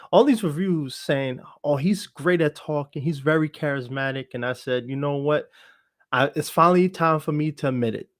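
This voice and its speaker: A man's voice: medium pitch, no echo, clean studio sound. A man speaking as a podcast guest, telling their own story in a self-assured, reflective and meandering way in casual conversation. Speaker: podcast guest, actor and entertainer. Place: online video call for a livestream podcast.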